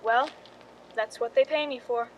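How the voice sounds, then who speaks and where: A woman's voice: a little high, steady tone, measured pace, lo-fi sound, slight background noise, natural voice. An actress playing a wry, knowing film stand-in who confides her story in a radio drama, in an understated way. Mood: patient, matter-of-fact. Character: wry, cool young woman, film stand-in and scheming narrator. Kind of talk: radio drama acting with confessional narration.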